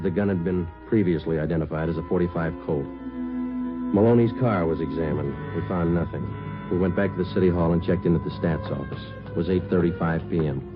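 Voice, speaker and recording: An actor playing a terse, matter-of-fact police sergeant who also narrates, in the clipped style of 1950s radio drama. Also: male voice, sits low, close to an everyday voice. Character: terse, businesslike male police sergeant, a detective. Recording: dull vintage radio recording with music.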